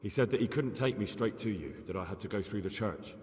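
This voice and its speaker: A man's voice: on the low side, animated, fast talker, a little mellow, breathy edge, big-room sound. A man playing a plucky young man in a video game, plain-spoken and persistent. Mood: guarded, confident. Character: plucky young man posing as a would-be gang recruit. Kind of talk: dramatic video game cutscene acting.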